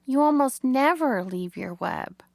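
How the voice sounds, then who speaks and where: A woman's voice: high-pitched, huge pitch swings, a little slow, creaky, no echo, studio quality, voice pushed up, exaggerated voice. A woman voicing an anxious, eager young male pig in a storybook, with childlike pleading questions. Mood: puzzled.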